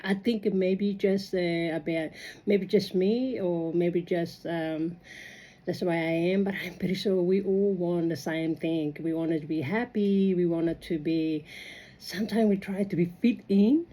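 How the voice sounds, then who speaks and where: Woman w/ lowish mellow voice, non-native english, casual personal talk, kinda halting and rambly but earnest. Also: steady tone. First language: non-native English speaker. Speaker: migrant sharing personal experience. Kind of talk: casual reflective monologue.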